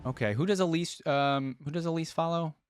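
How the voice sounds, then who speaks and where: A man's voice: a little high, brisk, soft background music. A man hosting a reaction video with casual, conversational commentary, thinking aloud as he goes.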